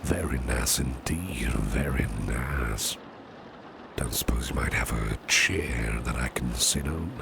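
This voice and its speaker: A man acts out a protective gentleman boss in an ASMR roleplay, with an extremely low, gravelly voice. He speaks slowly and softly, often close to a whisper, reassuring and courteous, over clearly audible background ambience. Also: noisy background, exaggerated voice. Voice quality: very deep, gravelly, often breathy and near-whispered. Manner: gentle, reassuring, unhurried, repeats phrases softly. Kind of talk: ASMR roleplay, soft personal attention.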